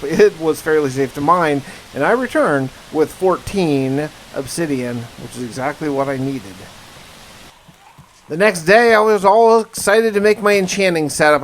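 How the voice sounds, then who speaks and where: A man's voice: a little high, slight background noise, low-quality recording. A man hosting a gaming video, giving casual first-person commentary with folksy exclamations and mild slang.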